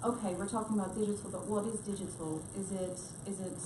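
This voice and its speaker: A woman's voice: mid-range voice, fairly even intonation, fast talker. A woman speaking as a researcher on a panel, thoughtful and hesitant, with frequent restarts. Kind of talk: panel discussion remarks. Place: conference room, panel event. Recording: echoey room with noise.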